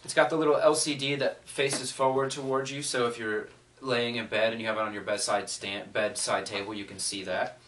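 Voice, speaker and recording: Male product reviewer, middle-pitched, casual and conversational, recorded at a distance with faint noise. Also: steady tone, brisk, a bit bright. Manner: thinking aloud, conversational.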